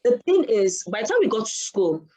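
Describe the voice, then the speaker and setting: A woman's voice: a little high, sing-song, a little fast, dark tone. A Nigerian woman whose first language is Yoruba, telling a story earnestly on a panel and stopping to search for words. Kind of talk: casual panel discussion.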